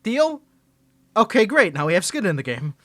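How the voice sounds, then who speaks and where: Man, fairly high voice, dry booth sound: stammering, flustered, bossy roleplay rival talking straight to the listener. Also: very animated, normal speed, dry recording. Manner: flustered, stammering, defensive grumbling; bossy, scolding outbursts. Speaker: ASMR roleplay performer. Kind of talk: ASMR roleplay, speaking to the listener.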